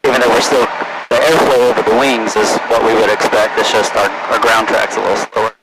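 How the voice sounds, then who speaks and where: A man's voice: on the low side, flat delivery, quick pace. A man working as a private pilot, casually explaining flying as he goes over a headset intercom. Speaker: private pilot narrating his flight. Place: small propeller plane cockpit in flight. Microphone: aviation headset through intercom. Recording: loud engine drone, aviation headset intercom. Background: loud engine noise, radio traffic.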